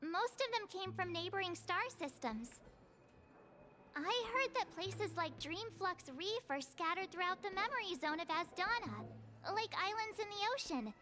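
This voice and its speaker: A woman's voice: very high-pitched, big pitch swings, moderate pace, pushed and bright, full character voice. A woman voicing a small, earnest, childlike companion in a video game, with an eager, explanatory way of talking. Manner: eager, expository. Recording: faint game music behind.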